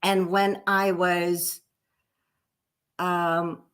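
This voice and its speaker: A woman in her fifties who grew up in Pakistan speaks slowly and thoughtfully. Her voice sits a little low, and she often restarts her sentences while telling her life story as an interview guest. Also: little pitch movement, smooth. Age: 50s. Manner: slow, reflective storytelling with repeated restarts. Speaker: guest, French teacher. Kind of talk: casual interview talk.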